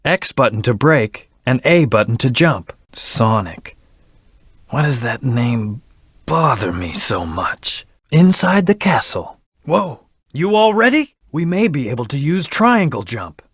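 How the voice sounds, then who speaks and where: A man's voice: medium pitch, very animated, measured pace, breathy edge, no room sound, studio quality, exaggerated voice. A man voicing a brooding, terse hedgehog antihero in a video game, with a serious, clipped, commanding delivery. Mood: urgent, commanding. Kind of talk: video game character voice lines.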